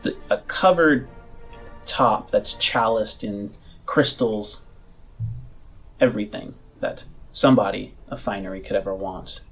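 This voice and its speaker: A man's voice: lowish voice, measured pace, a bit bright, breathy edge, faint music behind, poor audio. A man narrating a tabletop game as game master, improvising vivid, savored descriptions.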